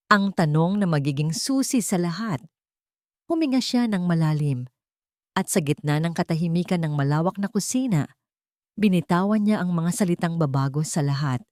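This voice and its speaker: A woman's voice: fairly low pitch, a little mellow, no echo, clean studio sound. A woman with a Filipino accent reading a scripted audio drama as a dramatic storyteller.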